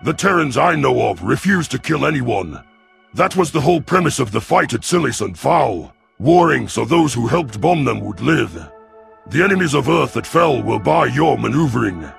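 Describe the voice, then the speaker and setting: A man voicing an arrogant young reptilian alien hunter, gravelly and sneering, in a science fiction audio story. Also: medium pitch, expressive, normal speed, music in the background, voice pushed up. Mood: scornful, challenging. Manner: boastful, sneering.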